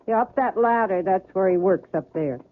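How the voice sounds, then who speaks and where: A woman's voice: medium pitch, moderate pace, lightly acted. An actress playing a wary, curt older mother-in-law in an old-time radio drama, terse and suspicious. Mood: wary, grudging. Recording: muffled, noisy old radio recording.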